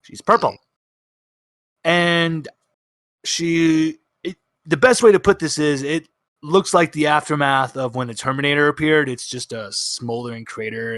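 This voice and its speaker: A man's voice: mid-range voice, gravelly, fairly bright tone, dry recording, studio quality. A man narrating as game master in a tabletop roleplaying game, improvising with emphatic repeats.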